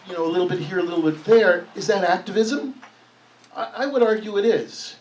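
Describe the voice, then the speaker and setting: Rambling higher-voiced man, far off. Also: darkish tone. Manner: rambling, down-to-earth, thinking aloud. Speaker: audience member. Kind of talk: spoken comment in a public discussion. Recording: distant room mic.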